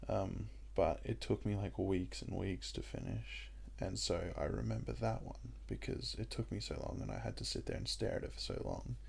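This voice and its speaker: Young Australian guy, low and gravelly, a bit husky, loose rambling let's-play chatter. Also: normal speed, hardly any pauses. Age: young adult. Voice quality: croaky, gravelly voice. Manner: rambling and relaxed, with many ums. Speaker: gaming YouTuber. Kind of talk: casual let's-play commentary.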